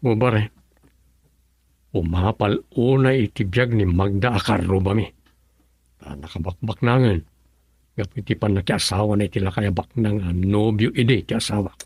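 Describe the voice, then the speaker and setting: An actor playing an easygoing, broke husband who works construction, in a radio drama. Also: male voice, on the low side, moderate pace, pauses often, darkish tone, husky, no room sound.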